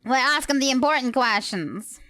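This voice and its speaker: Higher-voiced woman game streamer, music behind. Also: expressive, moderate pace, bright, forceful tone, no room sound. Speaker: game livestreamer. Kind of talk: casual live commentary on gameplay.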